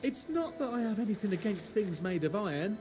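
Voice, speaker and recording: An actor playing an eccentric, fussy, chirpy pacifist merchant in a video game, with a theatrical manner. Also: male voice, high-pitched, animated, fairly quick. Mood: fussy, earnest. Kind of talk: video game character acting. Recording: music behind the voice.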